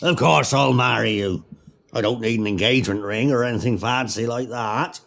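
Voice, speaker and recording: A man playing a grumbling, deluded older servant with a gravelly voice in an audio pantomime. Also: medium pitch, moderate pace, a bit bright. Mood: touched.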